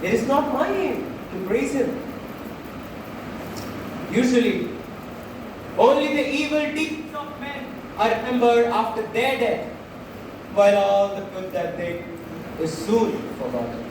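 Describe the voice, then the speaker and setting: A grieving, persuasive Roman orator, acted by a young man in a school play. The voice is very high and declaims with heavy pauses. It comes from far off in a very reverberant hall with clear background noise. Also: lively intonation. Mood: solemn, ironic. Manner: slow, declamatory, emphatic pauses. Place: school stage, recorded from audience distance. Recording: echoey hall, distant mic, noisy.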